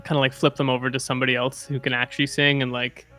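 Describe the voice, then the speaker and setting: A man's voice: medium pitch, little pitch movement, very fast, bright, forceful tone. A man with a mid-range voice chats casually about his music. He is talkative and easygoing, peppering his speech with "dude" and "like". Faint music sits in the background. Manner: chatty, says "dude" and "like" a lot. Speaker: music producer. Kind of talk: casual chat on a livestream.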